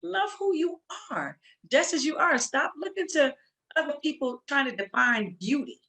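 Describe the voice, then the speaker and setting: Animated, high-voiced woman, emphatic. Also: moderate pace. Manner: emphatic, motivational, repeats short phrases. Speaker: interview guest, playwright. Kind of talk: conversational interview.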